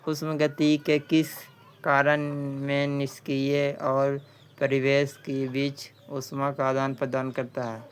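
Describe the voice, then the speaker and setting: A man from India working as an exam-prep tutor, reading quiz questions and answers aloud, haltingly and often repeating phrases. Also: mid-range voice, monotone, a little hiss or hum.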